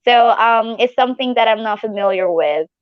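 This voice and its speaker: A woman's voice: fairly high pitch, moderate pace, smooth, no echo, muffled recording. A woman from Malaysia chatting casually and giggly as co-host of a live stream from her living room at home.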